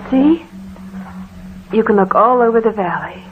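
A woman's voice: a little high, expressive, unhurried, a little hiss or hum. Mild young woman, tinny old radio. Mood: mild, concerned. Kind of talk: 1940s radio drama acting.

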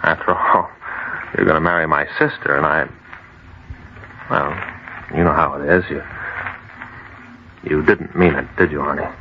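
An actor playing a skeptical, down-to-earth friend, blunt and wry, in old-time radio drama style. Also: male voice, rumbling low, fairly even intonation, moderate pace, long pauses. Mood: uneasy. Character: skeptical, down-to-earth man, impatient worried friend. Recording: muffled, noisy old radio recording.

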